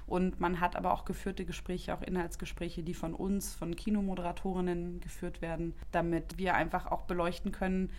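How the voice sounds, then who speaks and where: A woman from Berlin talks about her festival job in an interview. Her voice sits a little low, and she explains with eager, thorough detail, stringing lists of venues and numbers together. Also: level tone, fairly quick, hardly any pauses. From Berlin, Germany. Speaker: film festival staff member. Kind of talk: interview answers.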